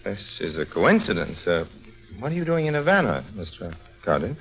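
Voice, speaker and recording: A man's voice: on the low side, big pitch swings, fairly quick, fairly bright tone, soft background music, poor audio. An actor playing a wily, wisecracking con man in a 1950s radio drama, with a breezy, casual manner and sly asides. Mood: cagey, reporting. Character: wily wisecracking con-man and narrator of his own misadventures.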